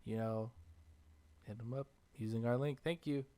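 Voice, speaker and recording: A man's voice: medium pitch, steady tone, moderate pace, mellow. A man hosting a podcast in a casual, conversational style, chatting and reading sponsor spots. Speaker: podcast host. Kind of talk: casual chat and ad reads.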